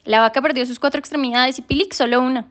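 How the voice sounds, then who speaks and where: A woman's voice: sits high, fairly even intonation, fast, fairly bright tone. A woman playing a solemn, precocious youngest daughter who speaks formally and pedantically in a literary radio drama. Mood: earnest, pedantic.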